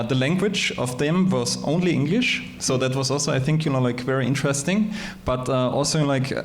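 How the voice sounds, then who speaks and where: A man from Austria, a native German speaker, talking through his points on an industry panel, often ending phrases with "yeah". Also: mid-range voice. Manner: conversational, tags statements with "yeah". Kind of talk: conference panel discussion.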